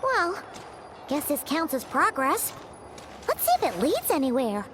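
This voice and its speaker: A woman voicing a small, childlike, outspoken fairy companion in an animated game. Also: high voice, measured pace, very noisy. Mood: bemused. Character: small, excitable floating fairy girl companion. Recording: loud game music behind.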